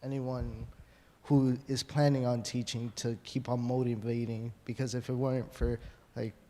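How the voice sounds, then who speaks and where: Flat-voiced young man musician, hesitant. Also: medium pitch, normal speed. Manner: hesitant, many fillers. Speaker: student musician panelist. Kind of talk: live panel discussion. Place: large hall. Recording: long hall reverb from a live panel.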